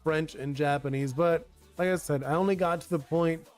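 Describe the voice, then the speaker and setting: A man's voice: on the high side, fairly even intonation, moderate pace, soft background music. A young man streaming as he designs a card game, talking casually to chat in a rambling way with lots of fillers; his first language is Spanish. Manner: rambling, filler-heavy, reads chat aloud.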